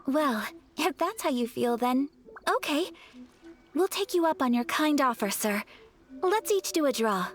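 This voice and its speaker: High, demure young noblewoman. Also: expressive, a little slow. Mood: polite, curious. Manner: formal, demure, hesitant. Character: polite, shy young noblewoman, gentle and earnest. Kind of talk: video game voice acting.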